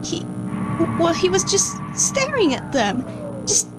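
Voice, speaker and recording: A high-voiced, anxious female scientist played by a woman records an analog horror audio log. She speaks slowly and haltingly, stammering with guilt. Music plays clearly behind her. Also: wildly swooping pitch, full character voice, rough recording. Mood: haunted, uneasy.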